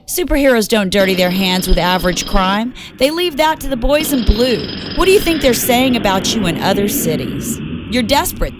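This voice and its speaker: A woman playing a stern, exasperated police commissioner in an adult comedy radio drama, scolding bluntly and swearing. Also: high-pitched.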